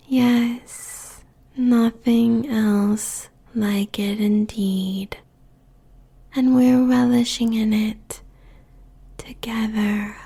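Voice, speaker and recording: A woman playing a possessive, seductive succubus demon queen in a breathy, teasing whisper, as an intimate audio roleplay. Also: on the high side, dark tone, exaggerated voice. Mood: gloating, sultry. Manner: slow, teasing, drawn-out phrases.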